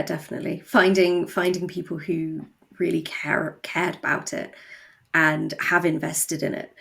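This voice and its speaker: Creaky, low-voiced woman in conversation. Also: fairly bright tone. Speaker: guest, audio drama writer and co-creator. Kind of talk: conversational interview.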